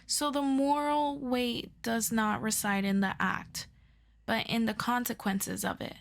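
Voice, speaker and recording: A woman playing an earnest, eager superhero best friend in an audio roleplay, tutoring the listener in a halting, casual, self-deprecating way. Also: a little high, fairly even intonation, gravelly. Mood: earnest, explaining.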